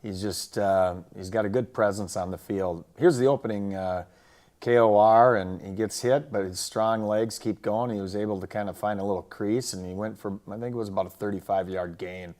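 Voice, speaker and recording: A man who coaches football, casually recapping a game and its highlights in colloquial coaching slang. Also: fairly even intonation, moderate pace, darkish tone.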